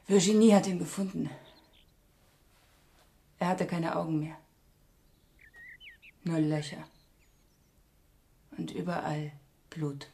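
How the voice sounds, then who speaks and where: An actress in a radio crime drama playing a grieving, guilt-ridden mother, speaking in halting, broken phrases that sink into whispers. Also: fairly low pitch, fairly even intonation, vocal fry, dark tone. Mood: guilt-ridden grief.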